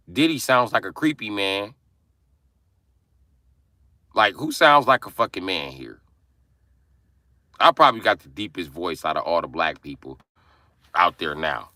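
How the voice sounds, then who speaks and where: A young adult man ranting angrily and forcefully on a livestream from inside his car. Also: deep voice, dry recording, clean studio sound. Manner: angry, forceful, profane ranting. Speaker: livestream host. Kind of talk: angry profane livestream rant.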